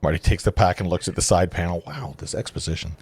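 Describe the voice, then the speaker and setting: A man's voice: sits low, expressive, quick pace, a little mellow, slightly rough, no room sound. A man narrating stage directions at an informal script read, casual and stumbling a little over the text.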